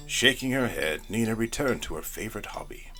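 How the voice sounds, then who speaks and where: A man reading a spooky storybook narration in a playful, expressive way. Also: on the low side, a little fast, creaky, faint music behind.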